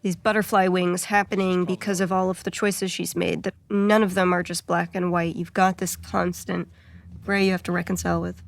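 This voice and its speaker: A woman's voice: on the low side, level tone, moderate pace, creaky. A woman giving casual, analytical commentary on a game, reflective and building her thoughts in repeated phrases.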